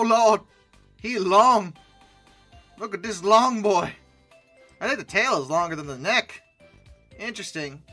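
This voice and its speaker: A comedy host gives off-the-cuff commentary with a bright, sharp voice and very animated pitch. The delivery is theatrical and sarcastic, taking its time between exclamations. Music plays underneath. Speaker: host of a comedy reaction video. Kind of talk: off-the-cuff comic commentary on images.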